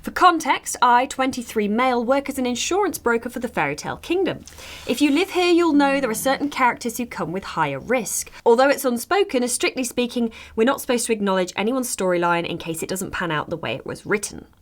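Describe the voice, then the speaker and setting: A woman playing a fairytale podcast host, reading advice posts aloud in an expressive, conversational way. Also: on the high side, fairly quick, lightly acted.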